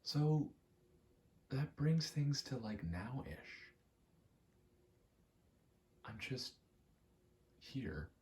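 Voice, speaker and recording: A young adult man in a quiet, casual ASMR-style ramble, speaking candidly and hesitantly, often trailing off. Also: mid-range voice, sing-song, drawn-out words, pauses often. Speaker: video maker.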